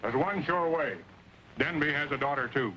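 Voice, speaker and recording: An actor playing a vengeful, hot-headed American townsman in an old Western, urgent and forceful. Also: fairly high pitch, normal speed, poor audio. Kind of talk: old Western film acting. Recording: old soundtrack with faint noise.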